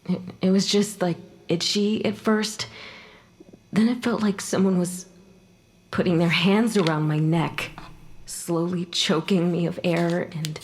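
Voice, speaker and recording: An actress playing the wounded, bitter ghost of a dead mother in an audio drama, speaking haltingly and with emotion. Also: lowish voice, fairly even intonation, a little hiss or hum, close to an everyday voice. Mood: haunted, remembering. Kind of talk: naturalistic audio drama acting.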